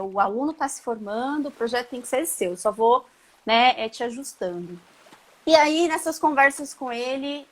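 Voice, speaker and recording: A middle-aged woman talks over a video call in an easy, rambling way, often checking in with little tag questions. Her voice sits fairly high. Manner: chatty, relaxed, many tag questions and restarts. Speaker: chemistry professor, guest. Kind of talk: casual interview on a live stream.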